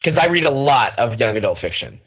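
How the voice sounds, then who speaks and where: A man's voice: medium pitch, sing-song, fairly quick. Phone-line man arguing about books. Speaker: podcast book club panelist. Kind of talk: casual chat, earnest discussion. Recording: thin, phone-like call audio.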